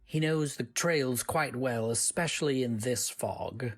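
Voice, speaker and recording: Woman acting a very low-voiced, humble servant. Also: a little slow. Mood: kindly. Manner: deferential, polite, old-fashioned. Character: humble, courteous manor servant, eager to please. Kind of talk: old-time radio drama acting.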